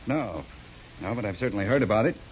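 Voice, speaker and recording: An actor playing a stiff, self-righteous bureaucrat in a 1950s western radio drama, formal and lecturing in manner. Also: male voice, fairly low pitch, lively intonation, fast, natural voice. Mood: critical, prim. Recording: muffled, noisy 1950s radio recording.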